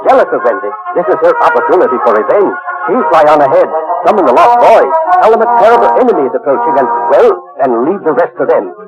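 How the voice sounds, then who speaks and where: A man's voice: on the high side, sing-song, quick pace, few pauses. A man narrating a radio drama in a dramatic, scripted storytelling style. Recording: dull old radio recording. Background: prominent music.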